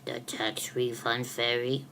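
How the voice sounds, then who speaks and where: Storybook dimwit male voiced by a woman, glum, low, dragging, naive. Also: level tone, slow pace, fairly bright tone, a little hiss or hum, exaggerated voice. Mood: glum, confused. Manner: broken caveman-like grammar. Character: slow-witted, glum, childlike man believing in fairies. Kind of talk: homemade storybook skit.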